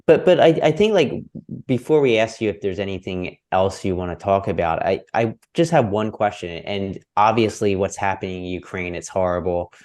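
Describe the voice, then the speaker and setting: A male podcast host with a low voice, talking in a chatty, joking way. He often stumbles and repeats the first words of a sentence. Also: animated, normal speed, a little mellow. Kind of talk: casual interview.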